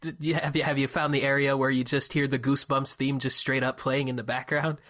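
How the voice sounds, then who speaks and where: A man's voice: medium pitch, level tone, fairly bright tone. A man guesting on a podcast, chatting casually and making jokes. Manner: joking, quick to laugh.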